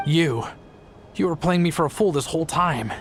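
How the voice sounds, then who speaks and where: A troubled father with a guilty conscience played by a man, his male voice pitched a little high. He speaks earnestly and anxiously in video game style, over faint music. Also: normal speed, close to an everyday voice. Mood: betrayed.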